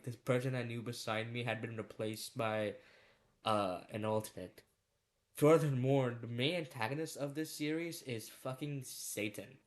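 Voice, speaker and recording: A man with an average-pitched voice talks through his opinions as a video creator. His delivery is informal and rambling, peppered with slang and casual swearing. It sounds like off-the-cuff commentary. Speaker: video creator ranking horror series.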